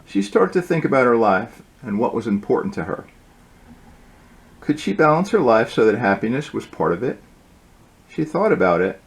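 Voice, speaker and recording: A man reading his own storybook aloud as its author, in an informal way with occasional halting asides. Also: medium pitch, very animated.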